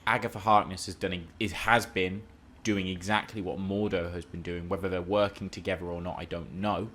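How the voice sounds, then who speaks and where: A man's voice: deep voice, level tone, moderate pace, fairly bright tone, a little hiss or hum. A man co-hosting a podcast, discussing film and TV casually in an assertive, opinionated way. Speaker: podcast co-host.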